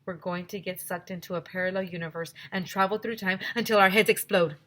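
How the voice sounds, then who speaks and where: A woman's voice: medium pitch, steady tone, fairly quick, a bit bright, lightly acted. A woman voicing a dramatic, easily panicked young schoolboy in a children's storybook. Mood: anxious.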